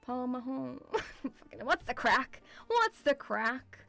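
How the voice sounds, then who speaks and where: A woman with a mixed European accent touched by Scottish, chatting casually as a livestream host in an excitable, rambling, sweary way. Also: high-pitched, slow pace, vocal fry, faint music behind. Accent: mixed European with Scottish influence. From Lithuanian-Russian background, partly grew up in Scotland.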